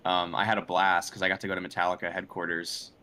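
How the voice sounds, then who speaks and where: A man being interviewed as a composer, talking casually and haltingly, often repeating words as he thinks. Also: low-pitched, flat delivery, bright, forceful tone.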